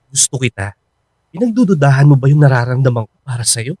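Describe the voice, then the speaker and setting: A man's voice: mid-range voice, animated, fast, darkish tone, no room sound, close to an everyday voice. An actor playing an earnest, sincere young man in love in a Filipino radio drama.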